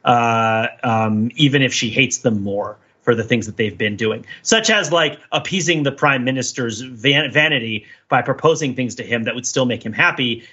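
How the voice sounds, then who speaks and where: A man with a bright, forceful voice talks as a podcast host. He builds long, emphatic speculative arguments in a conversational way, on a slightly dull, lo-fi track. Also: medium pitch, slightly rough. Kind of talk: casual analytical discussion of a TV show.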